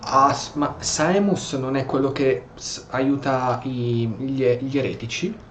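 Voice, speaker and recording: A man's voice: mid-range voice, steady tone, a little slow. An Italian man giving casual role-play commentary as he plays a video game. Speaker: gamer narrating his playthrough. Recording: echoey room, game music behind. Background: game music.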